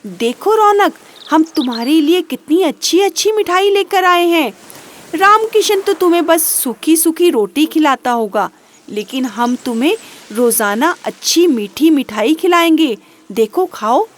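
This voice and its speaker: A sly, greedy village thief from a storybook, voiced by a woman, speaks in a very high, lifted voice. He schemes and wheedles at an unhurried pace. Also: expressive. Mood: coaxing.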